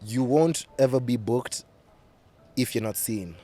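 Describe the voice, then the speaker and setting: Young man, twenties, kenyan-tinged english, podcast guest, easygoing, filler-heavy, ordinary pitch. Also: a little slow. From Uganda. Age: 20s. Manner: easygoing, lots of "like", laughs readily. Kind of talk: casual podcast chat.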